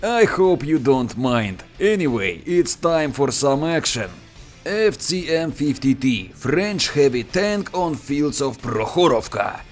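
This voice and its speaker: A man's voice: takes it slowly, faint music behind. A man with a Russian accent, a native Russian speaker, narrating a tank battle replay in a playful, joking commentary style. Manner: playful, joking, expressive with sudden pitch jumps. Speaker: gameplay commentator. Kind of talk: scripted game commentary voice-over.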